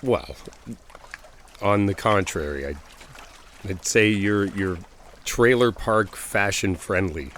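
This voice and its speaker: A man's voice: fairly low pitch, slow pace, pauses often, fairly bright tone. A man playing a friendly, bashful stranger in a boyfriend roleplay audio, talking casually and a little hesitantly. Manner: hesitant, apologetic, chuckling, conversational. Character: friendly, bashful male stranger at a lakeside trailer park. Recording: outdoor water ambience noise.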